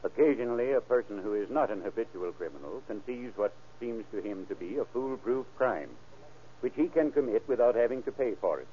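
A man giving a scripted radio address as a sheriff, formal, measured and sober. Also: mid-range voice, animated, warm tone, slight background noise. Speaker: sheriff giving a program foreword. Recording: tinny old radio recording.

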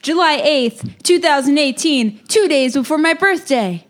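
A woman's voice: high-pitched, lively intonation, unhurried. A woman in her twenties, co-hosting a podcast in casual chat, giggly and full of fillers. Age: 20s. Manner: giggly, chatty, frequent likes and fillers. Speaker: podcast co-host.

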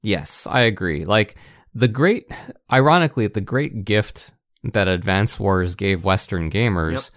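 A man with a low voice co-hosts a casual video game podcast. He is conversational and opinionated, and the sound is dry with no room in it. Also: expressive, unhurried, a bit bright, no room sound. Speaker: podcast co-host.

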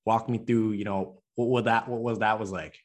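A man hosting a casual interview, relaxed, prompting his guest to walk through his story. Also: on the low side, normal speed. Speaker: interviewer host.

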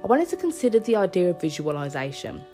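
A young woman hosts a casual solo podcast in a slightly low voice. A cold leaves her sounding a little stuffy. She talks earnestly and reassuringly, with faint music playing underneath. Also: big pitch swings, fast, warm tone. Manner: earnest, reassuring, with small laughs. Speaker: podcast host.

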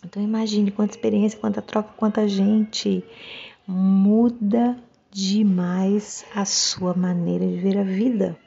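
A woman's voice: mid-range voice, little pitch movement, a little slow, gravelly, dark tone, slightly rough, muffled recording. A woman with a Brazilian Portuguese accent giving a casual, reflective monologue, musing and often checking for agreement with little tag questions.

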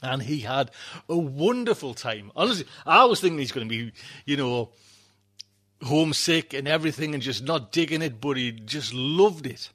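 A man's voice: medium pitch, expressive, normal speed, a bit bright. A middle-aged man, hosting a podcast in casual, effusive, rambling chat. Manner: effusive, rambling, repeats himself for emphasis. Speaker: podcast host.

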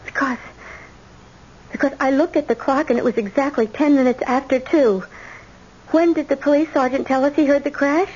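An actress playing an anxious, distraught young granddaughter, halting and pleading, in an old-time radio drama. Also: female voice, high-pitched, steady tone, a little mellow, lightly acted. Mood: shaken, earnest. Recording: muffled, hissy old radio recording.

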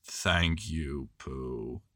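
A man voicing a gloomy old grey male donkey, slow and sighing, in a storybook reading. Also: very deep voice, bright, forceful tone, no room sound, studio quality, pitched below the performer's own voice, full character voice.

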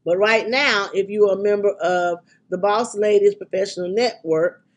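A middle-aged woman hosting a podcast, talking in a chatty, excitable way with frequent ums, her voice congested from a cold. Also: medium pitch, moderate pace, highs cut off. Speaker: podcast and radio host. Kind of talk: casual podcast chat.

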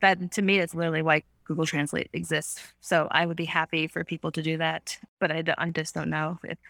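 A woman's voice: low-pitched, flat delivery, fairly quick, gravelly, a bit bright, dry recording. A woman speaking as a guest researcher in a casual chat, rambling and thinking aloud with many fillers. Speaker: podcast guest, survey researcher.

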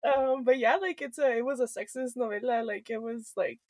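A woman's voice: on the high side, a little fast, a little mellow, no room sound, clean studio sound. A young woman co-hosting a podcast in casual chat, rambling with lots of fillers, swearing freely and switching between English and Spanish. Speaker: podcast co-host.

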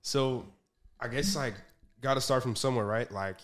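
A man's voice: mid-range voice, moderate pace, a bit bright. A man hosting a casual podcast, talking in an easygoing way with lots of fillers. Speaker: podcast host.

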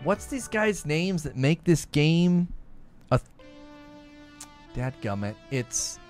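A man's voice: on the high side, very animated, slow pace. A man hosts a casual livestream, rambling through stories with his co-host. He talks with plenty of animation. Faint music sits underneath. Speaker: streamer and show host.

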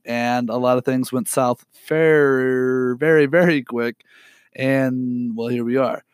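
A young man hosting a podcast alone, sick and hoarse, talking in an apologetic, rambling way with many fillers and throat clearing. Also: medium pitch, no room sound, studio quality. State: sick with a raspy, cracking voice. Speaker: podcast host. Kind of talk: unedited solo podcast update.

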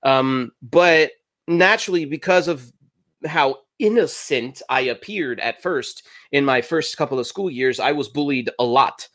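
Brief: German-raised male streamer, halting interview chat on a call. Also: mid-range voice, a bit bright. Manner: halting, lots of restarts and fillers. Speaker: YouTuber and streamer guest.

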